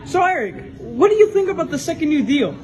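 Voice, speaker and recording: A woman's voice: sits high, big pitch swings, quick pace, crowd noise. A woman presenting a scripted mock news broadcast. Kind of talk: scripted mock radio newscast. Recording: faintly noisy recording.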